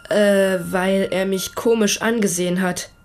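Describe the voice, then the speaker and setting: A woman playing a hesitant, secretive schoolboy giving an account in a naturalistic radio drama, halting and defensive. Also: medium pitch, steady tone, a little hiss or hum, lightly acted. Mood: evasive, uneasy. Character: schoolboy Tobias, hesitant, earnest, secretive witness.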